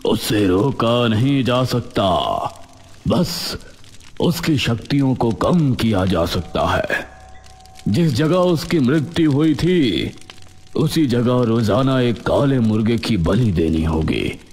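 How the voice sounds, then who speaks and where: A solemn tantric holy man, voiced by a male narrator in an animated village horror tale. His medium-pitched voice is grave and measured, set over background music. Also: breathy edge. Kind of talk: Hindi animated horror story dialogue.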